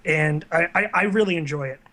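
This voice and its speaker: A man talks casually as the guest on an interview show. His voice sits a little high with a crisp, forward brightness, and he explains things earnestly and conversationally. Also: a little fast. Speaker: guest, online video series creator.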